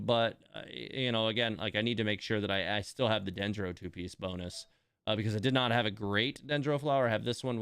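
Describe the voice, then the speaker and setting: A man giving casual live game commentary as a streamer, musing and thinking out loud. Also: on the low side, gravelly, smooth, no room sound, clean studio sound. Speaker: game livestreamer.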